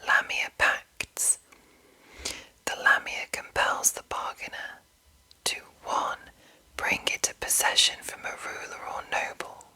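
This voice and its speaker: A woman whispering gently in an unhurried ASMR style, with a breathy, unvoiced delivery. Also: rough recording. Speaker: host of a whispered fantasy reading series. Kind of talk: whispered ASMR commentary and read-aloud.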